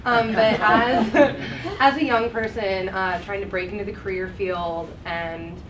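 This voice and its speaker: A woman's voice: medium pitch, unhurried, a bit bright. A young woman in the audience asking a question hesitantly, with many fillers. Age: young adult. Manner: hesitant, filler-laden, with nervous laughs. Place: conference room. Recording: echoey room, far from mic, background noise.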